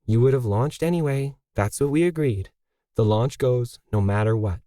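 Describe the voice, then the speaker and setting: A man's voice: mid-range voice, moderate pace, mellow, dry recording, natural voice. Man voicing a determined, weary woman artist, dry. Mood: firm.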